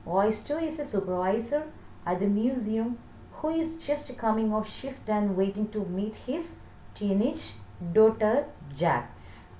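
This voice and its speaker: A woman in her thirties teaching an English lesson, speaking deliberately as if to a class of children. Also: medium pitch, lively intonation, warm tone. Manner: deliberate, checking questions to pupils. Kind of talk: English lesson for children, reading text aloud and explaining. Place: small plain room indoors. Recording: roomy echo with slight background noise.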